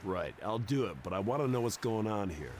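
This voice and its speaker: A man's voice: fairly low pitch, lively intonation, brisk, slight background noise, close to an everyday voice. A man playing a reluctant ex-soldier in a video game, with a dry, sarcastic way of talking. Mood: wary, sarcastic. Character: sardonic reluctant mariner and ex-special forces man. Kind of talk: video game cutscene acting.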